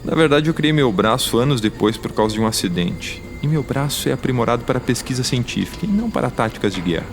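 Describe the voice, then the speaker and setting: An actor in an audio drama playing a male scientist with a mechanical arm, recounting his wartime past in formal, deliberate, wordy speech. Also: mid-range voice, sing-song, soft background music. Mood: earnest recollection.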